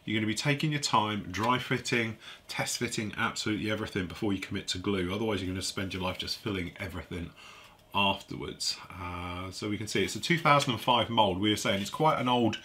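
Male kit reviewer, slightly low, bright tone, stuffy nose, faint background hiss. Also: brisk. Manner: chatty, thinking aloud while handling parts. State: congested. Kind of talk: casual hands-on kit review. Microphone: overhead camera on a workbench, voice off-screen.

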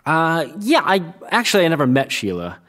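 A man's voice: on the high side, huge pitch swings, a little fast, a bit bright. An actor playing an awkward, hesitant man who turned up at a stranger's funeral in an audio play. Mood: sheepish. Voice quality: sheepish, hesitant. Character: awkward man named Kevin crashing a funeral.

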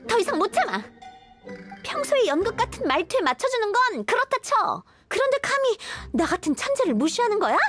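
Proud high-voiced young girl mage. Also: sing-song, a little fast, a bit bright, soft background music, exaggerated voice. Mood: indignant, competitive. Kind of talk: anime game voice acting.

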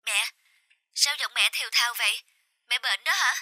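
A woman's voice: on the high side, normal speed, pushed and bright, no echo. A woman voicing a caring young son in a cartoon, speaking in an earnest, apologetic way. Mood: concerned. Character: caring young adult son living far from home. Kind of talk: animated moral tale voice acting.

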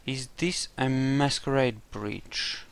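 Slow-talking man with a clear, smooth voice. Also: medium pitch, fairly even intonation. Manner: informal, muttering to himself, sweary. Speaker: let's play host. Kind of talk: casual gameplay commentary, partly role-played.

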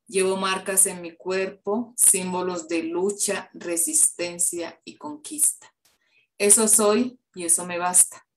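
A woman's voice: fairly low pitch, slow pace. A middle-aged woman with a Colombian accent introducing herself in a partly declaimed style on a video call. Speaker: theologian, panelist. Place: indoor office, video call. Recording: noisy video call with clicks.

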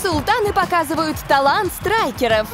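A woman's voice: extremely high pitch, very animated, normal speed. A woman voicing a spirited young football commentator in a dubbed cartoon. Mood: questioning. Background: music.

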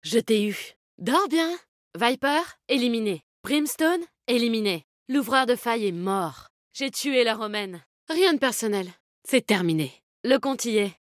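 High-voiced, brash fighter woman. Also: animated, dry recording, studio quality. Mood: fierce, curt. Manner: brisk, confident, slangy. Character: tough, rugged animal-loving female fighter, brash team player. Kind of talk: video game character voice lines.

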